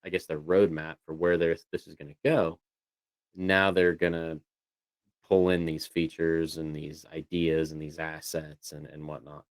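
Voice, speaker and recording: A man's voice: very deep voice, flat delivery, measured pace, dry recording. A man chatting casually as a livestream co-host, talking in a rambling way with lots of "you know"s. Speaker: co-host of a voice tech show.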